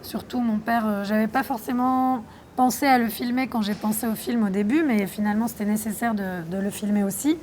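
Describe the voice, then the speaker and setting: A young woman from France speaks in a slightly high voice, answering an interviewer in a thoughtful, reflective way with frequent pauses. Faint background noise sits behind her. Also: steady tone, a little mellow. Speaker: documentary filmmaker being interviewed.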